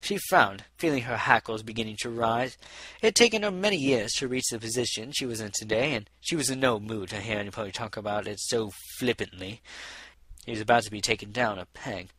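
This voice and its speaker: A man's voice: on the low side, brisk, pushed and bright, poor audio. A man narrating a story as an audiobook reader, in scripted prose narration.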